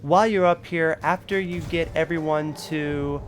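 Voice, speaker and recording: A man's voice: on the high side, fairly even intonation, normal speed, slight background noise. A man giving a casual game walkthrough, explaining each step informally as the host. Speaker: gaming video host. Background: game music.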